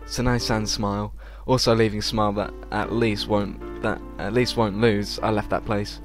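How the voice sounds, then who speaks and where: A man's voice: on the low side, flat delivery, normal speed. Male let's player reading over game music. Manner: informal, stumbles over the text he reads. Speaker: let's play host reading aloud. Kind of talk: reading visual novel text with casual reactions.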